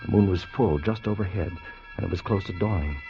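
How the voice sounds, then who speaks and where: An actor playing an earnest, excitable time traveler who tells his adventure intensely in a 1950s radio drama. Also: sits low. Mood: tense narration. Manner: intense, deliberate storytelling. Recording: dull vintage radio recording with music.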